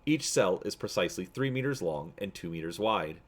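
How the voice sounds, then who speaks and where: Man voicing an officious low-voiced male military officer. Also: animated, fairly quick. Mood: officious, formal. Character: officious, droning Imperial officer guiding a prison tour.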